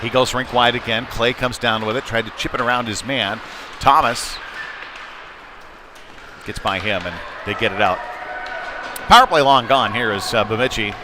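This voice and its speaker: A man with a medium-pitched voice calls a youth hockey game live. He speaks in short present-tense phrases and slips into conversational asides. Clear venue noise sits behind the commentary. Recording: noisy rink ambience behind live commentary. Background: sports venue noise.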